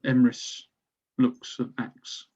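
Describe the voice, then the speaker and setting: A man's voice: medium pitch, completely flat delivery, takes it slowly, dark tone. A man narrating scripted stage directions at a table read. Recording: slightly dull, lo-fi recording.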